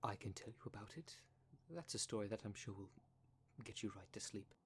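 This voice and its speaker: Clear background noise sits around a lowish male voice. It is a man acting a fussy, protective dream demon for an ASMR audio drama, choosing formal, wordy phrases with precise diction and a courteous manner. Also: lively intonation, normal speed. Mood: soothing, polite.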